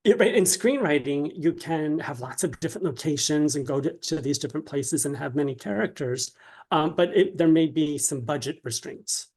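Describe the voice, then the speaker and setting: A man speaking as an interview guest, explaining his craft conversationally in a halting way, often checking with a "right?". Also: on the high side, lively intonation, a little mellow, husky.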